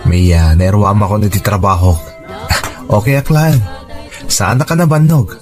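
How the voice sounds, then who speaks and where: A sincere young working man in love, played by an actor, talks in a fairly low, gentle voice. The delivery is earnest radio romance, with music in the background. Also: unhurried, natural voice. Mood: earnest, tender. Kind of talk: radio romance drama acting.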